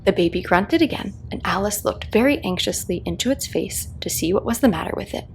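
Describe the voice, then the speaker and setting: Expressive, creaky-voiced woman storyteller. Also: medium pitch, a little fast, slight background noise, rough recording. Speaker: read-aloud host, storyteller. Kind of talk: calming children's audiobook narration.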